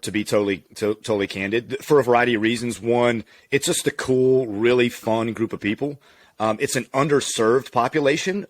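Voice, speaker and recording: Laid-back man from Louisiana chatting. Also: medium pitch. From Louisiana, USA. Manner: relaxed, candid, laughs easily. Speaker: physical therapist guest. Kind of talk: casual conversational interview.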